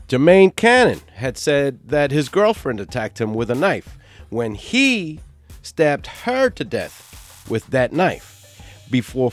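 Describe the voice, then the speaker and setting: A man hosting a true crime channel, giving a stern scripted narration while hoarse from a cold. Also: medium pitch. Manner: stern, emphatic delivery.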